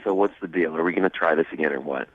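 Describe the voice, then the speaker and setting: A young adult man talking casually as a radio caller, cocky, smooth-talking and insistent. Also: very deep voice, rapid-fire, slight background noise. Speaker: phone-in dating contestant. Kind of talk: casual phone conversation. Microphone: telephone. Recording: thin phone line.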